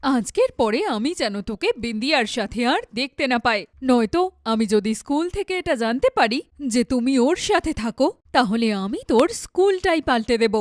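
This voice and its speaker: A woman as a stern, snobbish mother, higher-pitched. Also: big pitch swings, normal speed, dry recording, studio quality. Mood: stern scolding. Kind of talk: cartoon story dubbing.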